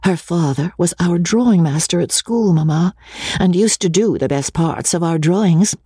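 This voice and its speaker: A woman voicing a kind, affectionate young gentlewoman in an audiobook, earnest and pleading in manner. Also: fairly low pitch, normal speed, husky, no echo, studio quality, pitched above the performer's own voice.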